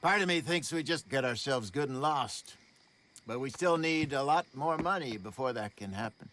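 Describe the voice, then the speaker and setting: A man's voice: medium pitch, animated, moderate pace, fairly bright tone, natural voice. Theatrical older American con man, played by an actor. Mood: reflective. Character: wily, genial older male con man outlaw. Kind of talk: video game cutscene acting.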